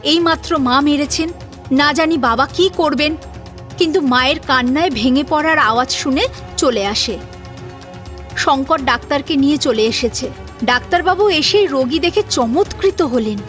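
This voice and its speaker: A woman's voice: high-pitched, normal speed, a bit bright. A woman narrating a scripted audio drama as its storyteller. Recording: music under the voice.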